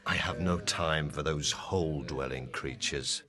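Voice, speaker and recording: A man's voice: very deep voice, unhurried, bright, forceful tone, heavily acted. A man playing a proud, brusque ranger leader in an amateur fantasy audio play, with a commanding, dismissive manner.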